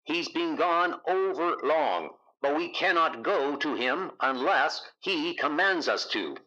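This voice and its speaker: A man's voice: a little high, expressive, slow pace, bright, forceful tone, dull audio, voice pushed up, exaggerated voice. A man voicing a machine that relays ominous ant messages, clipped and formal, in a comic book dub.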